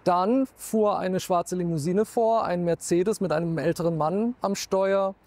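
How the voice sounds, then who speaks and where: A man's voice: high voice, measured pace, darkish tone. A man in his thirties or forties, a true-crime TV expert, retelling a case factually on camera outdoors into a lapel mic. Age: 30s or 40s. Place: outdoors in town.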